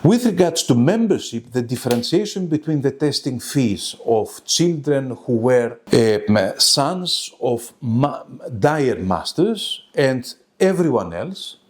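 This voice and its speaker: A man delivers a formal academic seminar as a lecturer. His pitch moves around in a lively way, while his phrasing is halting and careful. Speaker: lecturer presenting a web seminar. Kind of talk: academic lecture, scripted presentation.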